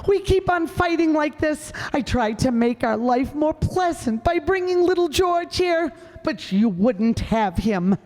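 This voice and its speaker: A woman's voice: lively intonation, a little slow, few pauses, a little mellow. A woman playing Blanche, a nagging and indignant housewife, in an old-time radio comedy staged live in a hall with long reverb. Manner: shrill and scolding.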